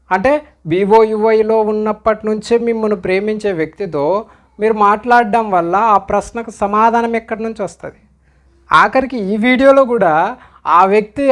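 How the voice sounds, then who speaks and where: Admonishing man giving commentary. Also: high-pitched. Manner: admonishing, questioning, repeatedly urging listeners to reflect. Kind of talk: direct-to-viewer commentary.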